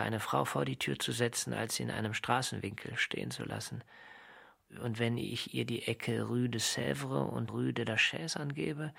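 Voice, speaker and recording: Sardonic literary man, husky, creaky voice, wry musing, radio drama. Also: medium pitch, a bit bright, heavily acted. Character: sardonic, brooding male novelist musing to himself. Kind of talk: radio drama acting and narration.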